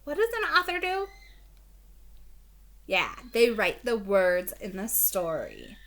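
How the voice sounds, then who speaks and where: A woman's voice: medium pitch, huge pitch swings, slow pace, a bit bright. A woman teacher introducing a read-aloud for young children, explaining things simply and asking the listener questions. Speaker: elementary school teacher.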